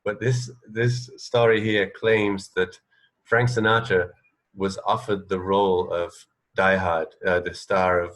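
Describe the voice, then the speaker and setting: A man with a fairly low voice co-hosts a casual radio show. He reads out bits of trivia haltingly, pausing and restarting as he thinks aloud. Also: level tone, a bit bright, low-quality recording. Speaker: radio co-host. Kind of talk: casual banter, reading out trivia.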